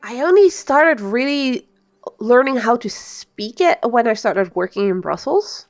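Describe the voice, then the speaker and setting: A woman's voice: on the high side, expressive, a little slow, soft background music. A woman from the part of Belgium, chatting casually as a game streamer and rambling through explanations. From Belgium, Flemish-speaking part. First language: Dutch (Flemish). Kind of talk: casual live-stream commentary.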